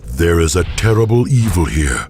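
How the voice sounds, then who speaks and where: A man's voice: low voice, very animated, moderate pace, darkish tone. A man playing a stern, ominous warden in a fantasy game cutscene, speaking in a grave, forbidding, declamatory way, with faint noise on the recording. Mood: grave warning. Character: stern, ominous male warden guarding a chained evil.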